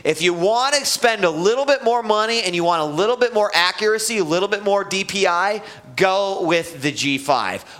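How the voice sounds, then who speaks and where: A man's voice: high-pitched, lively intonation, moderate pace, fairly bright tone. A man hosting a live gaming web show, casually reviewing a computer mouse with lots of fillers and restarts.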